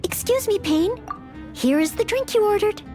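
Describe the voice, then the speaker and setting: A young maid knight from an anime-style game. The voice is very high and lifts and dips in a lively way, with courteous, formal, slightly hesitant phrasing. Earnest and eager to help. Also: female voice, normal speed, music in the background. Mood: gentle, concerned. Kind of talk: scripted anime-style video game acting.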